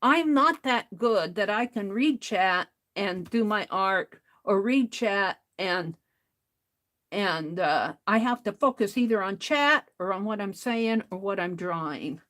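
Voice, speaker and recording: A woman hosting an art livestream, talking casually to chat in a loud voice, rambling and restarting her sentences. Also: medium pitch, animated, slow.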